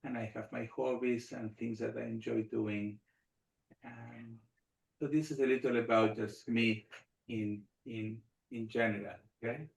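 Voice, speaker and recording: A middle-aged man from Argentina whose first language is Spanish, speaking as a rabbi on a panel in a measured way, repeating phrases in lists. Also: medium pitch, fairly even intonation, vocal fry, reverberant, poor audio. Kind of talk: panel talk.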